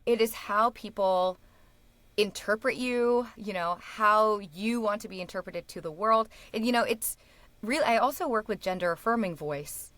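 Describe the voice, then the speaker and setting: Woman, speech therapist guest, animated casual chat, laughs often, mid-range voice. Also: medium pitch, no echo. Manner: enthusiastic, quick to laugh, restarts mid-sentence. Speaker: guest, speech-language pathologist.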